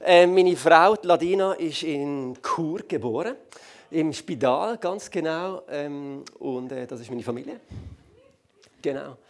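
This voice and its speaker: A man in his thirties from the Basel region with a Swiss German accent, speaking as guest pastor on a church stage, lively and joking, with many fillers. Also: on the high side, unhurried. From Basel region, Switzerland. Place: large church hall on stage.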